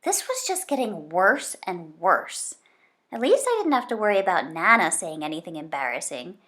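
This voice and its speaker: A woman reading a children's book aloud in an expressive storytelling style. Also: fairly low pitch, normal speed. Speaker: storybook reader.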